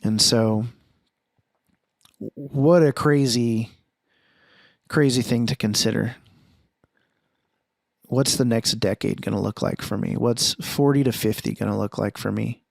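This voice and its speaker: A man's voice: fairly low pitch, measured pace, gravelly, pauses often, no echo. Podcast host, male, 40, solo reflective monologue, earnest and searching, close dry mic. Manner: earnest, thinking aloud with restarts and rhetorical questions.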